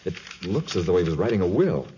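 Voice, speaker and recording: A man's voice: low voice, big pitch swings, fast. A man playing an anxious young scientist and helper in 1950s radio drama style. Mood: puzzled, worried. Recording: dull, faintly noisy 1950s radio recording.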